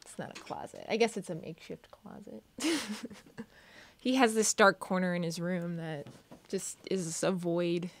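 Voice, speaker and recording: A soft-spoken woman chatting casually at home on a live stream, giggling between remarks. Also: lowish voice, a little slow. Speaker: guest co-host. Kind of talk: casual chat. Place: home room.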